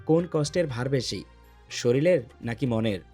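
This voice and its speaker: A man's voice: medium pitch, expressive, moderate pace, darkish tone, natural voice. Guy acting as hot-headed possessive boyfriend in audio romance drama, bossy n menacing, music under it. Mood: angry, menacing. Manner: commanding, menacing, clipped. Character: intense, possessive, hot-tempered young male lover. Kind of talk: dramatised audio love story.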